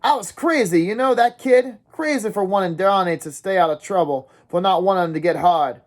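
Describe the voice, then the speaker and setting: A man's voice: very high voice, animated, fairly quick, vocal fry, voice pushed up, exaggerated voice. A man voicing a brash, hotheaded teenage tough. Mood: urgent tough. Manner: urgent, brash, sneering drawl.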